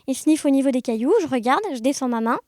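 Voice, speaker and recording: A woman chatting casually as an interview guest, telling anecdotes in an animated, conversational way. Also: high-pitched, fast talker, no echo, studio quality. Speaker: podcast guest, blind guide dog user sharing experiences.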